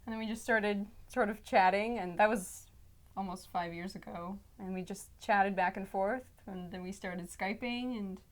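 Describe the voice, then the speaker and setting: A woman's voice: medium pitch, fairly even intonation, normal speed. A woman in her twenties from Canada, a music graduate student, telling the story of her relationship in a chatty way. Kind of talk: personal testimony in a documentary interview.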